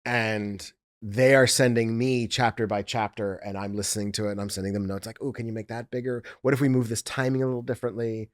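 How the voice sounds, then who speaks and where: Slightly low-voiced man explaining his process. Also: level tone, fairly quick, few pauses. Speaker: audiobook executive producer. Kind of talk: casual explanatory talk.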